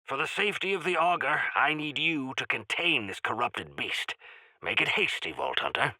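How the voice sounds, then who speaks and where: An actor playing a pompous, grandiloquent heroic quest-giver in a video game, with florid, theatrical phrasing. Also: male voice, medium pitch, very animated, normal speed, sharp tone, dry recording, heavily acted, poor audio. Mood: earnest, courteous. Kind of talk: video game character voice lines.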